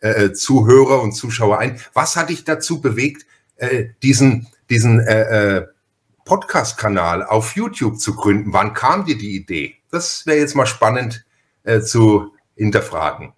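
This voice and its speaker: Low-voiced man hosting a podcast. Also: big pitch swings, measured pace. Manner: chatty, wordy, self-interrupting with repeated words. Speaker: podcast host and interviewer. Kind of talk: casual interview chat.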